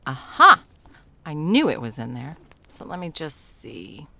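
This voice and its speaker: Woman doing a flustered sarcastic christmas elf for comedy asmr, low voice that swings all over, muttery and whispery. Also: wildly swooping pitch, a little slow, creaky, pushed and bright, full character voice. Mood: exasperated. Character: frazzled, foul-mouthed, inept female Christmas delivery elf. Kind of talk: whimsical comedic ASMR roleplay, talking to herself and listener.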